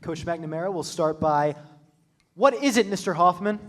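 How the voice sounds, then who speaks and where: A formal, authoritative school dean keeping students in line, played by a teenage boy. His voice sits a bit high, and he speaks in the tone of a staged student reading. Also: moderate pace, lightly acted. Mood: stern. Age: late teens. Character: stern school dean running an assembly.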